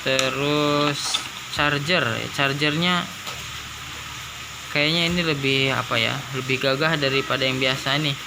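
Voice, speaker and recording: A man's voice: mid-range voice, steady tone, unhurried, long pauses, background noise. A man giving a casual unboxing commentary, informal and thinking aloud as he goes. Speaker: product reviewer. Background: music.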